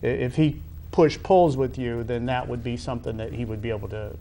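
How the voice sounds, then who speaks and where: A man hosting a sports interview, asking long conversational questions in halting, wordy phrasing with repeated words. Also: mid-range voice, mellow. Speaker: interview host.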